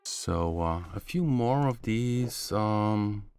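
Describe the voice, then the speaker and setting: A middle-aged man with a very low voice talks through synth presets on a live stream. He speaks in a relaxed, halting way, full of little fillers. He sits in a small home studio with faint synth tones behind him. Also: clear, resonant tone, soft background music. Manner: relaxed, halting, many fillers, slightly non-native phrasing. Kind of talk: casual live-stream demo.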